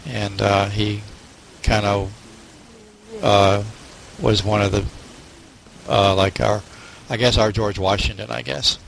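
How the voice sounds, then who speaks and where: A man with a fairly low voice talks casually about reading in a group discussion. He feels his way through sentences, backing up to correct himself. Faint noise and clicks sit behind him. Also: steady tone, slow, gravelly, long pauses, low-quality recording. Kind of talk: casual book club discussion.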